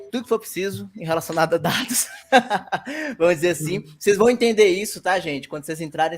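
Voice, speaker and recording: A Brazilian man working as a data analyst, introducing himself in a lively, chatty way on an online class. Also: fairly high pitch. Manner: lively, chatty, laughs easily.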